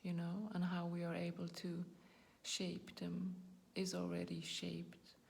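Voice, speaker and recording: A woman's voice: on the low side, completely flat delivery, a little slow, vocal fry. A woman in her forties, not a native English speaker, hosting her own channel and talking reflectively to camera, searching for words and often dropping into a whisper. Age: 40s. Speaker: YouTube channel host. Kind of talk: personal talk to camera.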